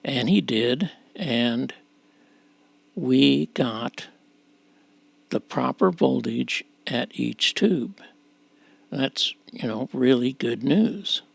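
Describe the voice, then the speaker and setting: Male repair presenter, very creaky and husky, halting explanatory delivery, close dry recording. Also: mid-range voice, expressive, no echo. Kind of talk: unscripted repair walkthrough narration.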